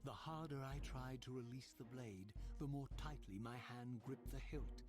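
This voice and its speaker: Undead wraith for a game, male actor: mid-pitched, vengeful, grave and eloquent, over loud music. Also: normal speed, natural voice. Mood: brooding. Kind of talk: theatrical scripted game narration and dialogue.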